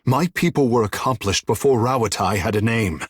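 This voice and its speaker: An actor voicing a vain, devout young demigod of an island tribe in a video game, with a husky voice and a theatrical, haughty, playful manner. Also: male voice, mid-range voice, fairly quick, no room sound, studio quality, natural voice. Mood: indignant. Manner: theatrical, haughty, playful, with a habitual verbal tag. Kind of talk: video game character dialogue.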